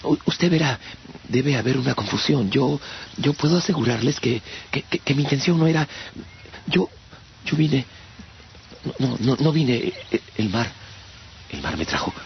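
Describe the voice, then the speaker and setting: A man's voice: mid-range voice, expressive, normal speed, vocal fry, long pauses. A man in a radio drama playing a frightened shipwrecked captive, pleading politely and stammering. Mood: nervous pleading. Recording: dull radio drama audio, faint noise.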